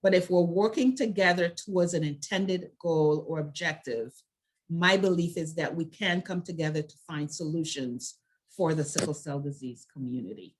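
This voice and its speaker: A woman speaking as a health advocate in a prepared talk, with deliberate, emphatic and measured phrasing. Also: sits low. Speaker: health advocacy organization leader and panel speaker.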